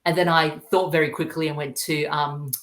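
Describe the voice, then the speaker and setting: A man's voice: a little high, level tone, moderate pace, a bit bright. A young Australian man chatting casually as an interview guest, hesitant and earnest in his delivery. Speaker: podcast guest, YouTuber. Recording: roomy, echoing sound.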